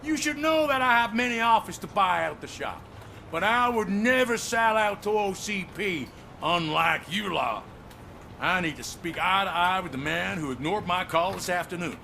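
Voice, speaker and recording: A high, sharp, gravelly male voice as a stubborn shopkeeper in a game. He is indignant and demanding, with some noisy ambience behind. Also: lively intonation, moderate pace, exaggerated voice. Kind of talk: video game cutscene dialogue.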